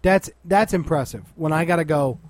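A man's voice: fairly high pitch, moderate pace, dry recording. A man co-hosting a casual movie discussion, blunt and opinionated, with a choppy, sweary delivery. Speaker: podcast co-host and film critic.

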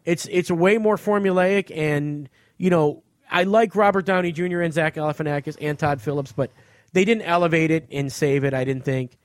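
A man's voice: fairly high pitch, moderate pace, no echo. An American man hosting a podcast, chatting casually and profanely about movies in a studio. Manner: profane, conversational. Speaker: podcast host. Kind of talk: casual movie review chat.

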